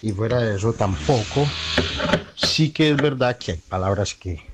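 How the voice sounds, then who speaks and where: A man's voice: lowish voice, lively intonation, normal speed. Colombian guy phoning in, earnest, keeps repeating himself, noisy line. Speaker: caller. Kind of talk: phone-in comment. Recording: noisy phone call.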